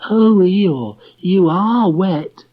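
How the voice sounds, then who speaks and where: Man putting on a timid little piglet, soft and nervous, husky tone, dull recording. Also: high voice, lively intonation, extremely slow, voice pushed up, exaggerated voice.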